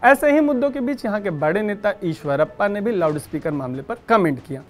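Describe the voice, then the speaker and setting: A man presents news with a lively, swinging intonation. He explains things in an emphatic, conversational manner, with music faintly behind him in the studio. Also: high-pitched, brisk. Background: background music.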